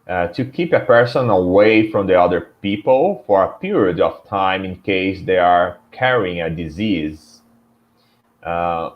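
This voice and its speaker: A man's voice: low voice, lo-fi sound. A man from Brazil with a Brazilian Portuguese accent, a student reading vocabulary aloud hesitantly, with restarts and self-corrections. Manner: hesitant, reads aloud haltingly.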